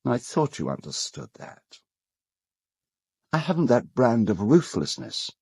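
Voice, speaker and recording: An actor with a British accent playing an urbane, cold, self-justifying gentleman in an audio drama, speaking in a measured, articulate, dryly ironic way. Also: medium pitch, sing-song, vocal fry, slightly rough, no echo, studio quality, exaggerated voice. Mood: smooth, self-justifying.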